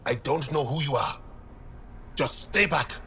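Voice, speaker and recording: An actor playing a jumpy, suspicious alien field researcher in a video game, blunt and excitable. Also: male voice, medium pitch, normal speed, highs cut off, slight background noise, natural voice. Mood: alarmed. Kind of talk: cinematic video game acting.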